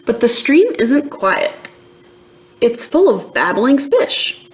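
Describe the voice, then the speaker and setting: Slow-paced woman reading a storybook. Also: on the high side, sing-song. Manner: slow, deliberate storytelling with questions to young listeners. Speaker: teacher reading a picture book aloud to students. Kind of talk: expressive children's storybook read-aloud.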